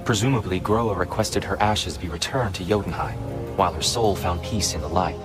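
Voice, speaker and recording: A man acting a low, solemn troubled god. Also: lightly acted. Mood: pensive. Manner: solemn, measured, formal. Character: gentle, troubled giant war god, principled. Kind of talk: video game cutscene acting. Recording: music behind the voice.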